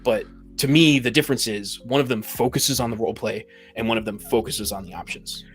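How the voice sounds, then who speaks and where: A man's voice: medium pitch, fairly quick, faint music behind. A man in a casual podcast debate, making his points emphatically and repeating them. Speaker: podcast panelist.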